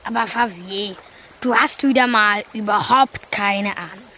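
A woman's voice: medium pitch, measured pace, bright, forceful tone, slight background noise. A female voice playing a cheeky, eager and precocious young boy in a radio drama.

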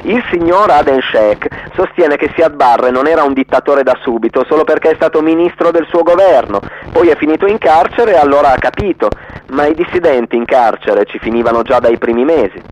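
A man's voice: fairly high pitch, brisk, muffled recording, voice pushed up, natural voice. A man voicing a combative Somali political spokesman in a radio play, declaiming accusations in a television debate. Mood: scornful.

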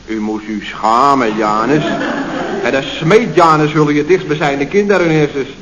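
Man playing a naive, plaintive chatterer, higher voice. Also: normal speed, close to an everyday voice. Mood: chatty indignation. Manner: plaintive, artless tale-telling. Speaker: actor in a radio comedy serial. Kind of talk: Dutch radio comedy acting, circa 1970. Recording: dull, faintly noisy old radio recording.

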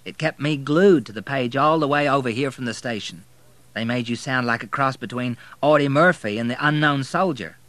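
A man's voice: mid-range voice, moderate pace, a bit bright, no echo, voice pushed up, close to an everyday voice. A man voicing a poised, teasing Southern woman who is a wealthy divorcee. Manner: arch, teasing.

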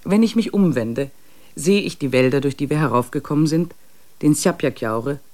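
A man playing a traveler who narrates his diary in a measured, reflective way in a radio play. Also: fairly high pitch, lively intonation, darkish tone, close to an everyday voice.

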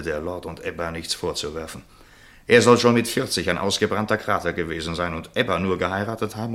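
A very deep, bright-voiced, urging gentleman. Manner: earnest, persuasive, articulate. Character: concerned, urging gentleman confidant, worldly and wry. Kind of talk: German literary radio play acting.

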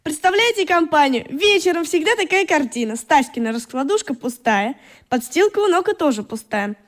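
A fondly exasperated female character in a radio play. Her voice is high. She talks in a teasing, long-suffering way. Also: animated, moderate pace. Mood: fond exasperation.

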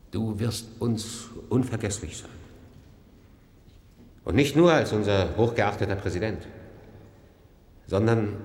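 Radio drama: formal, authoritative leader of underground survivors, low register, measured and fatherly delivery. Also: breathy edge. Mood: solemn. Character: authoritative male senior official of an underground survivor community. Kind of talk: German science-fiction radio drama acting.

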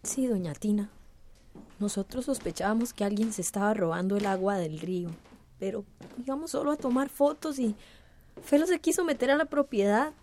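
A woman's voice: mid-range voice, animated, moderate pace, a little mellow, slight background noise, lightly acted. A woman playing a distressed, determined young village activist in a radio drama, speaking earnestly. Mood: distressed, explaining.

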